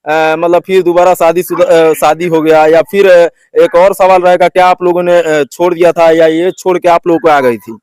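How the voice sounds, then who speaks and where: A man hosts an interview and commentary vlog in a notably high voice. The sound is dry, with no room on it. His phrasing is halting and circles back on itself with recurring filler phrases. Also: little pitch movement, a little mellow, clear, resonant tone, no room sound. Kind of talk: phone interview and opinion commentary to viewers.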